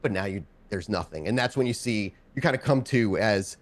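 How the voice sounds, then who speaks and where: A man working as game master, narrating a tabletop game off the cuff and often pausing to search for words. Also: lowish voice, lively intonation.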